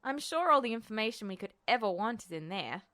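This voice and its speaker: A woman's voice: fairly high pitch, sing-song, a little fast, bright, forceful tone. A woman voicing a cheeky, impatient young male alchemist in an audiobook, with a pointed, sarcastic manner. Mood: insistent.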